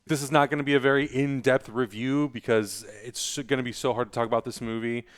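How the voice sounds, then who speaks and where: A man speaks as the host of a casual movie review show. His delivery is conversational and agreeable, with a medium-pitched voice. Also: steady tone, a little fast.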